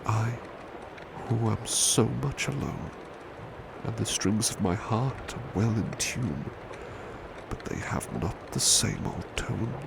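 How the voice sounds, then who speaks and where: A man plays a devoted butler in a soft ASMR roleplay. His voice is very deep and slow, yet it rises and falls expressively. He is formal, proud and solicitous, with heavy ambient noise behind him. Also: animated, gravelly, full character voice. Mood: melancholy, tender. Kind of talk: soft-spoken ASMR roleplay with poetry reading. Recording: strong background ambience noise.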